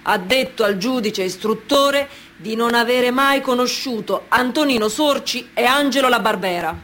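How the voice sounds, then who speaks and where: An actor playing a formal, insistent courtroom examiner in a radio play, putting probing questions. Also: male voice, very high voice, normal speed, sharp tone. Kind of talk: theatrical radio dialogue. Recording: faint background noise and ambience.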